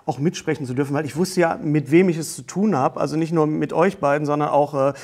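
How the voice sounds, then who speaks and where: A man's voice: on the high side, fairly even intonation, quick pace, a little mellow. A man around his forties hosting a talk show in a casual, chatty style. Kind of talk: casual chat. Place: small studio set, indoors, at a table.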